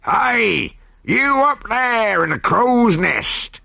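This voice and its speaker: A man's voice: a little high, sing-song, a little slow, gravelly, sharp tone, dry recording, studio quality, voice pushed up, full character voice. A man voicing a greedy pirate captain who blusters, gloats and pleads frantically. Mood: gruff.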